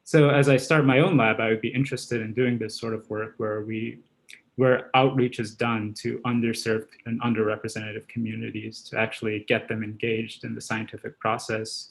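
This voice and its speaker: Male researcher, thirties, for a science seminar talk; medium-pitched voice with plenty of fry. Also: low-quality recording. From Guyana and Trinidad. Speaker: academic researcher, job candidate giving a seminar. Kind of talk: academic seminar presentation.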